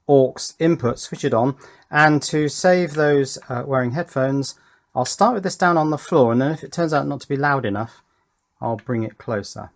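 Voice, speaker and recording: A man presenting a hands-on electronics demonstration in a casual, wry, understated way with dry humour. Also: mid-range voice.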